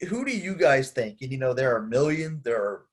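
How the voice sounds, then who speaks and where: A young man co-hosting a casual sports podcast, animated as he explains his points at length. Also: mid-range voice, brisk. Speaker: podcast co-host.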